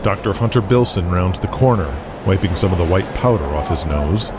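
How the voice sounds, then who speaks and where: A man's voice: low voice, lively intonation, brisk, very noisy. A man reading scripted narration for an audio drama, setting each scene. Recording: loud guitar music behind the voice.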